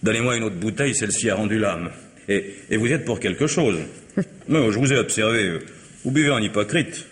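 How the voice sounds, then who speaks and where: Actor playing a cheeky vagabond, very deep voice, drawling and sardonic, old radio play with long reverb and faint hiss. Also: male voice, a little fast, lightly acted. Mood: sly. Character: wry, cheeky vagabond man, worldly and scrounging. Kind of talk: vintage radio drama acting.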